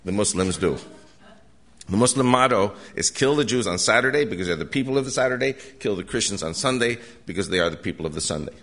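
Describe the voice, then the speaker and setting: A man's voice: lowish voice, animated, fast, fairly bright tone. An older American man giving an urgent, earnest lecture to a live audience, often restarting his sentences. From United States. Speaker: guest lecturer.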